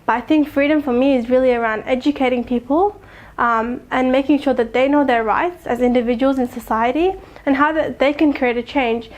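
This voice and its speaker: A young woman with a high, light voice speaks in a reflective interview. She is earnest and thoughtful, and she pauses often to find her words. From Afghanistan. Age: young adult. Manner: thoughtful, earnest, with soft laughs and frequent ums. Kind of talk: reflective personal testimony.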